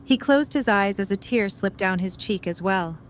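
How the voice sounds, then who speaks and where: A woman's voice: mid-range voice, expressive, normal speed. Woman narrator, faint background noise. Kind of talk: scripted story narration.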